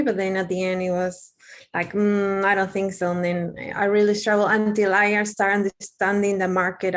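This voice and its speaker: A young adult woman from Peru with a Peruvian Spanish accent, speaking English as a second language as an interview guest, candid and rambling with many fillers and restarts. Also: medium pitch. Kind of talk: casual interview.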